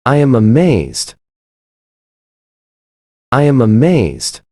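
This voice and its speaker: Pronunciation model, very slow delivery, lively intonation, each phrase said twice. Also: mid-range voice, no room sound, clean studio sound. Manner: says each short phrase twice, carefully.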